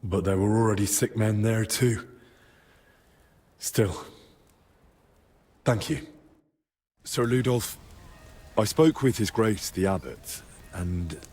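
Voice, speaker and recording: A man playing an earnest, determined young man in a medieval video game drama, speaking in a measured, respectful way. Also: fairly low pitch, darkish tone.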